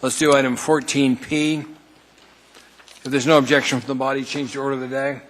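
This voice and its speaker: A man's voice: medium pitch, little pitch movement, a bit bright. Man chairing a reverberant assembly. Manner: brisk procedural. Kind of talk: chairing a public meeting. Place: council chamber. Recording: long reverb in a council chamber.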